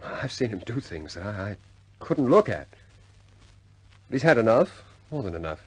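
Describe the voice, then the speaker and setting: An actor playing a loyal, deferential air force officer in a radio war drama, speaking with clipped understatement. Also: male voice, fairly low pitch, big pitch swings, moderate pace, breathy edge, dry recording, poor audio. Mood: earnest. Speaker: squadron pilot reporting to his superior.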